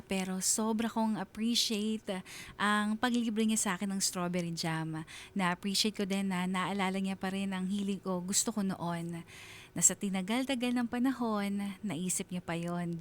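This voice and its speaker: A woman playing a reflective single mother, telling her love story in earnest, sentimental, deliberate phrases like a confessional radio drama. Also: mid-range voice, natural voice. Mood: touched, tender. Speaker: narrator of a radio drama letter.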